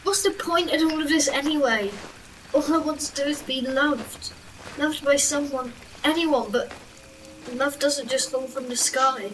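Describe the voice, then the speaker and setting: A woman's voice: sits high, unhurried, fairly bright tone, recorded from a distance, slight background noise, poor audio. A woman playing an earnest, wounded young orphan boy in an audio drama, plaintive and polite. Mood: hurt.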